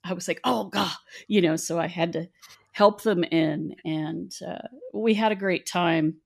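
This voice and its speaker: A middle-aged woman from West Virginia speaks with a somewhat low voice. She tells stories in a chatty, meandering, self-deprecating way, like a relaxed podcast conversation. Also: measured pace, creaky. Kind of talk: casual storytelling chat.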